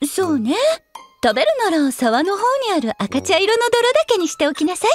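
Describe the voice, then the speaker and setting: A woman's voice: extremely high pitch, very animated, fairly quick, faint music behind. A woman playing a bubbly, teasing, eccentric food-loving young woman in a Japanese anime audio drama, with a playful singsong delivery. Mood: cheerful, explaining.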